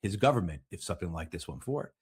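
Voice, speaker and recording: A man with a husky, rough-edged voice gives conversational political commentary. His pitch moves animatedly as he halts and rephrases, and the sound is dry. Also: big pitch swings, fast, no room sound. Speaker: interview guest, political commentator.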